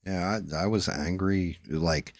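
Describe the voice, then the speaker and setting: A man's voice: low voice, unhurried, dry recording, clean studio sound. A man hosting a casual livestream chat, blunt and swearing freely, often restarting his sentences. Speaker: livestream host. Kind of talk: casual chat while 3D printing and painting.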